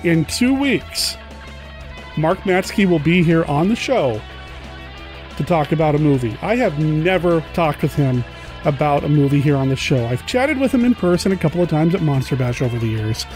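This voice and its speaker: A man hosting a podcast, chatting casually and excitedly straight to his listeners. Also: fairly high pitch, normal speed, faint music behind. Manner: informal, excited, direct address. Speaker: podcast host. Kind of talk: casual enthusiastic chat to listeners.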